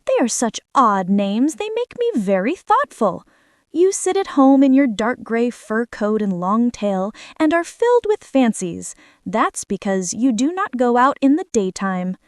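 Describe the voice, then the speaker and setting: An earnest little she-mouse from a fairy tale, acted out by a woman. She is trusting and exclamatory, with a high voice that leaps about in pitch. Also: sing-song, unhurried, no echo, clean studio sound. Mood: puzzled, suspicious. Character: small, trusting, good-natured female mouse, easily puzzled. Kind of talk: children's fairy-tale character voice.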